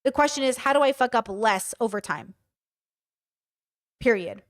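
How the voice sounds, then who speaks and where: A woman in her thirties with a fairly high voice, holding forth to a live chat. She speaks bluntly and swears freely, and she keeps throwing questions back at her audience. Her commentary is unscripted and conversational. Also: moderate pace. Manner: candid, profane, talks to her audience with rhetorical questions. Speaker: streamer. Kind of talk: livestream commentary, reading chat.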